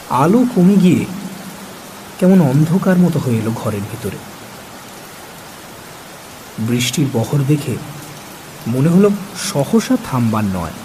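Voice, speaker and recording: An actor playing a curious, coaxing listener who narrates an audio horror story in an expressive, literary way. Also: male voice, medium pitch, takes it slowly, long pauses. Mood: atmospheric. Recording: faint noise under the voice. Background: rain sounds.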